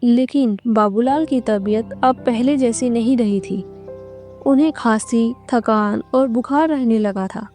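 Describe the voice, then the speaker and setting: A woman's voice: fairly high pitch, measured pace, warm tone, faint music behind. A woman narrating a scripted cartoon story as a storyteller.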